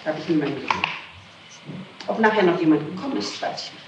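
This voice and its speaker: A woman's voice: sits low, lively intonation, fast talker, highs cut off. A woman answering questions as a suspect in a formal interrogation, emphatic and defensive. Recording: distant, noisy, echoey archival interrogation tape.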